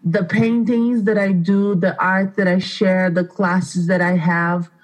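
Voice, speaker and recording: A woman's voice: fairly low pitch, steady tone, takes it slowly, rough recording. A woman in her thirties from Brazil, speaking English with a Brazilian Portuguese accent, telling her life story earnestly as a radio interview guest. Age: 30s. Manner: earnest, heartfelt storytelling with frequent restarts. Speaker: guest, art studio owner.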